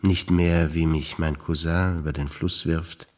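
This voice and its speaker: An older man, a stage actor reciting memories in a rhythmic, deliberate listing manner with pauses. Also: a bass voice, fairly even intonation, a little mellow.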